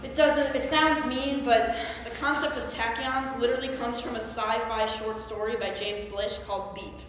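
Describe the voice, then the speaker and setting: A young woman on stage playing an earnest, geeky woman obsessed with physics in an animated, wistful monologue. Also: fairly high pitch, normal speed, close to an everyday voice. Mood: exasperated. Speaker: actor in a staged play reading. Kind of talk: theatrical monologue, explanatory. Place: live staged reading at a conference. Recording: very echoey hall, faint noise.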